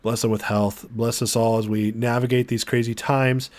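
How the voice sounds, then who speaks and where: A man's voice: medium pitch, level tone, normal speed, darkish tone. An American man hosting a casual livestream, chatting informally and chummily with viewers and reading their comments, his voice starting to give out as he recovers from illness. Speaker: livestream host.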